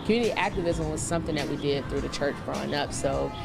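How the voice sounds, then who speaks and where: A woman's voice: low-pitched, quick pace, vocal fry. A woman in her thirties from the southern United States, an activist answering interview questions conversationally. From Chattanooga, Tennessee, United States. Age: 30s. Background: music bed.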